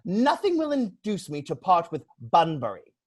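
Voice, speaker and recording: A man playing a witty, flippant young English gentleman in a stage comedy reading, declaiming with pompous mock seriousness. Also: high voice, wildly swooping pitch, normal speed, husky, full character voice. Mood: breezy, arch.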